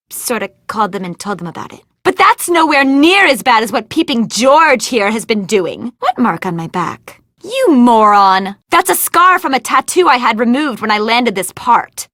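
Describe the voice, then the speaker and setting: A ditzy, fame-hungry young actress from an adventure game. Her voice is high and wildly expressive, with a dry, close studio sound. She gushes theatrically, like a gossip in love with herself. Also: dry recording. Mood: sheepish boasting, then indignant. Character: vain, ditzy, dramatic young movie actress, scheming for fame. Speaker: video game voice actor. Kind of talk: animated adventure game dialogue.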